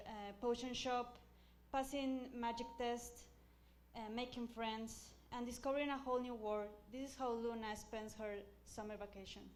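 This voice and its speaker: A woman's voice: a little high, a little hiss or hum. A young woman from Mexico, nervously presenting her student project at a podium with hesitant fillers. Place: small screening room with audience. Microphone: podium microphone.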